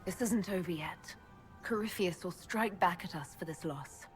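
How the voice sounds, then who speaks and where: An actress playing a caring, resolute young heroine in a video game, speaking tenderly and with composure. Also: female voice, on the low side, moderate pace, soft background music, close to an everyday voice. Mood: grave. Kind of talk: dramatic video game dialogue.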